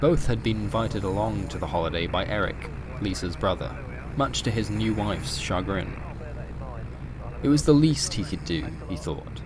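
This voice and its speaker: A man narrates a scripted horror story as a podcast host, in measured, literary storytelling. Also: fairly low pitch, background noise. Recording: music bed under the voice. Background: music.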